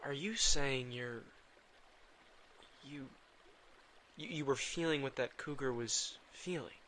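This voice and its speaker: Brooding male vampire for audio drama, played by a man: forward, bright voice, halting and defensive, clear background noise. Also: mid-range voice. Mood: guarded, weary. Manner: halting, defensive, stammering under pressure. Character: brooding, drained, guilt-ridden reluctant male vampire. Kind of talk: intimate audio drama acting.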